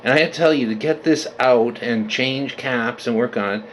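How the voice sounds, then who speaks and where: Male hobbyist presenter, casual and conversational, mid-pitched voice, faint noise behind him. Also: moderate pace, poor audio. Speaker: vintage radio collector presenting his restoration. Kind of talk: casual unscripted video demonstration.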